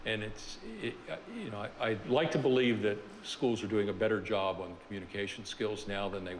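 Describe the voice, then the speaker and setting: Older man lecturing, low and halting. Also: fairly even intonation, in a large hall, a little hiss or hum. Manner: halting, many fillers and restarts. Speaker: seminar speaker. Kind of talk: reflective lecture on his career. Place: large university seminar room.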